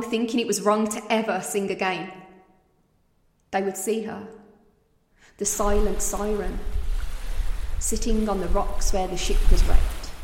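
Female poetry reader, mournful and dramatic, drenched in echo. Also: mid-range voice. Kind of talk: dramatic storytelling poetry recitation. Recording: echoey, long reverb.

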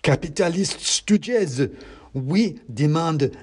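A fanatical little revolutionary mouse from a storybook, put on by a male narrator, declaiming in slogans. The pitch is raised and each phrase is drawn out slowly and pompously. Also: expressive, a little mellow, slightly rough, heavily acted. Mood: worked up. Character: fanatical revolutionary committee mouse in a red sock hat.

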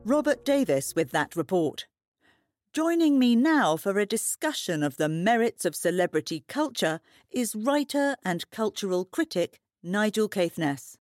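A woman's voice: medium pitch, big pitch swings, no room sound, clean studio sound. A woman playing a composed, probing news anchor conducting a formal broadcast interview in an audio drama. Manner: formal, measured questioning.

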